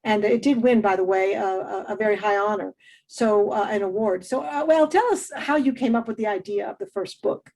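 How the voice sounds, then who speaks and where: A woman's voice: medium pitch, brisk, vocal fry, a little mellow. A woman hosting a radio interview show, introducing her guest in an effusive way, with many fillers and restarts. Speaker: host.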